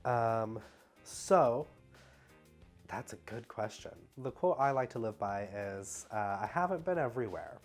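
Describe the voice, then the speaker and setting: A young man with a stuffy nose, talking casually at home in a rambling, halting way full of fillers. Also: mid-range voice, lively intonation, faint music behind. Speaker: travel vlogger. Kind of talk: casual vlog Q&A.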